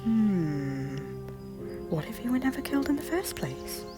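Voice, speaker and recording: A woman voicing a gentle, hopeful young noblewoman who speaks softly and tentatively. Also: medium pitch, sing-song, unhurried, over music. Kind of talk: fan audio drama acting.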